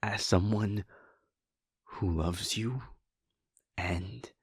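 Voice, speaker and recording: A man with a low, slightly breathy voice speaks to his partner in a soft, halting way, often trailing off and restarting. He talks slowly and unhurriedly. The sound is dry and close. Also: dry recording. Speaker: audio roleplay performer. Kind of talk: intimate audio roleplay, speaking to the listener.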